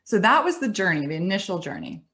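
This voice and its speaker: A woman's voice: medium pitch, very animated, fast talker, fairly bright tone. A middle-aged woman giving a webinar talk, conversational and storytelling, with some restarts and light laughs. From United States. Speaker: webinar speaker. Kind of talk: presentation talk. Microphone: webinar computer mic.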